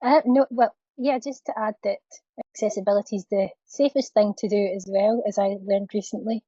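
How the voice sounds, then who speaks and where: Female co-presenter, wry storytelling, flat and mellow delivery, dry muffled call sound. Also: medium pitch, moderate pace, no echo. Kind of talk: anecdote in a webinar.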